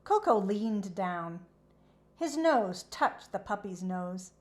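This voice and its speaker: A woman storyteller reads a children's book aloud. Her intonation is animated and expressive, and she takes her time over the narration. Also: medium pitch, slow.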